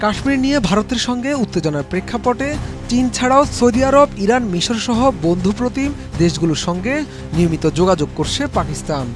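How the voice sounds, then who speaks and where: A man's voice: high voice, lively intonation, fast. A man with a Bangladeshi accent, a news reporter reading a scripted voice-over report. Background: background music.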